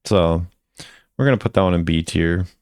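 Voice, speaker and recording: A man's voice: sits low, moderate pace, darkish tone, dry recording, clean studio sound. A man hosting a video, giving casual commentary as he ranks game voice lines.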